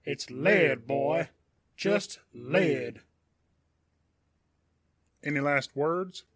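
A man performs a menacing supervillain for a superhero audio story. The voice is badly husked and slow, with deliberate, mocking threats. It is recorded close and dry. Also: a little high, fairly bright tone, slightly rough, dry recording, full character voice. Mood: mocking, threatening.

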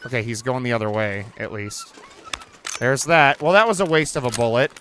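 A young man giving casual, chatty commentary over a survival game, reacting out loud and swearing now and then. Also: mid-range voice, normal speed, a little hiss or hum. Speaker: gaming channel host. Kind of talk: casual let's-play commentary. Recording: faint game ambience behind.

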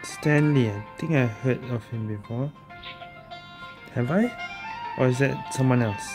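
A woman's voice: rumbling low, unhurried. A woman doing casual let's play commentary, muttering short reactions to the game. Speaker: gaming streamer. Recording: game music behind the voice.

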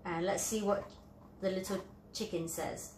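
A woman's voice: mid-range voice, fairly even intonation, a little slow, darkish tone. A woman reading a children's picture book aloud, explaining it in simple phrases for young children. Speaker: children's storybook reader. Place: indoor room, at a table. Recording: roomy echo, light background noise.

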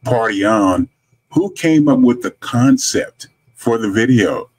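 A middle-aged man hosting a music interview in a deliberate, complimentary way. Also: mid-range voice, expressive, a little mellow, husky. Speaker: music podcast host. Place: small indoor room, video call. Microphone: studio mic up close, headset.

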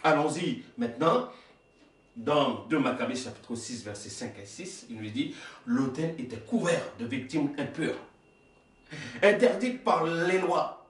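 Emphatic preacher in an echoey room. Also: male voice, fairly high pitch, animated, measured pace, far from the mic. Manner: emphatic, gesturing, rhetorical questions, stumbles and self-corrects. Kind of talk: sermon reading and explaining texts. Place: small indoor worship room.

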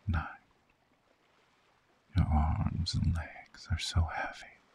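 A man voicing a soothing, seductive vampire lover in a slow, hypnotic ASMR sleep roleplay, half-whispering with a breathy, gravelly voice. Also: very deep voice, completely flat delivery, darkish tone, exaggerated voice. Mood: hypnotic, lulling. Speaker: ASMR voice actor.

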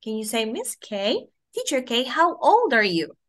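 A woman in her twenties from the Philippines, teaching English to a child over a video call and modelling phrases slowly in a sing-song way. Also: fairly high pitch, very animated, fairly bright tone. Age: 20s.

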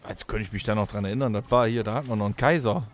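A man's voice: fairly low pitch, racing, soft background music. A middle-aged man co-hosting a podcast in casual, joking banter with friends. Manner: joking, teasing. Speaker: podcast co-host.

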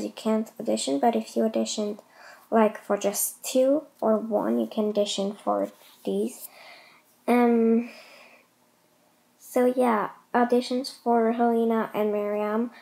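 A woman's voice: fairly high pitch, creaky, darkish tone, low-quality recording. A young woman making a casual announcement to viewers in a hesitant, rambling way, often repeating herself. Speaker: host of a fan voice-acting channel.

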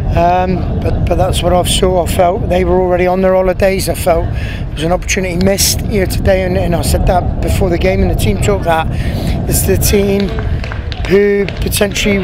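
English football manager in his 30s, pitchside post-match chat, blunt and repetitive, outdoor noise audible. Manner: frank, repeats himself, many restarts. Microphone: handheld interviewer mic, medium framing. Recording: outdoor noise and passing traffic.

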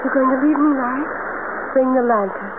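A woman's voice: sits high, a little fast, lightly acted. Actress as a haunted, mysterious woman, tinny radio. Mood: pleading. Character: mysterious, haunted young woman fleeing a swamp creature. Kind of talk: 1970s radio drama acting. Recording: tinny, noisy 1970s radio recording.

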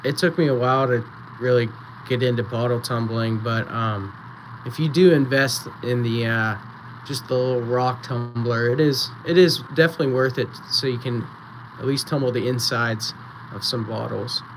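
A man hosting a live-streamed auction, chatting casually and counting down bids, with a voice going raspy. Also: medium pitch, steady tone, moderate pace, slight background noise, poor audio. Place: small indoor photo booth setup.

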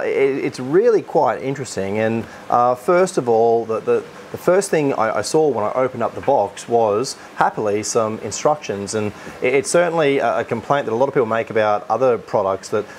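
A man's voice: mid-range voice, moderate pace, a little hiss or hum. An Australian man hosting a product unboxing. He talks with enthusiasm and often restarts his sentences with fillers. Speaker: aquarium shop host.

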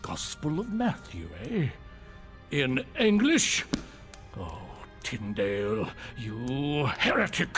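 An actor playing a scornful, menacing persecutor of heretics in a historical audio drama, with a sneering delivery. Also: male voice, medium pitch, sing-song, takes it slowly, a bit bright, faint music behind. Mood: scornful menace.

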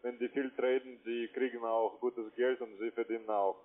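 A man's voice: medium pitch, fairly even intonation, normal speed. Hesitant male salesman on a phone line. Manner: hesitant, choppy, non-native phrasing in German. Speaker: telephone investment salesman. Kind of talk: halting sales pitch. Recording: thin narrow-band phone line.

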